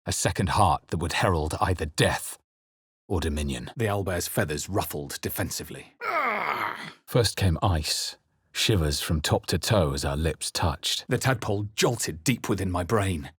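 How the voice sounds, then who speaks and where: Deep, brooding fantasy adventurer. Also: male voice, expressive, moderate pace, slightly rough, dry recording, clean studio sound. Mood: grave, brooding. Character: male fantasy adventurer hero, grave and introspective. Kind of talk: scripted video game narration and character lines.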